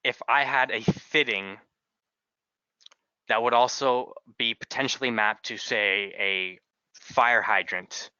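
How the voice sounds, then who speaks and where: A man giving a software tutorial as a trainer, talking in a halting way with repeated words, in a slightly dull recording. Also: fairly low pitch, clean tone, no room sound.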